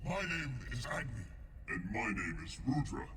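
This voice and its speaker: An actor playing two talkative demonic guardian brothers in a video game, pompous and dim, speaking in a stilted, formal, bombastic way. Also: male voice, mid-range voice, sing-song, moderate pace, background noise, close to an everyday voice. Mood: eager, pleading. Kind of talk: video game cutscene acting. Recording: echoing, distant game audio with loud music.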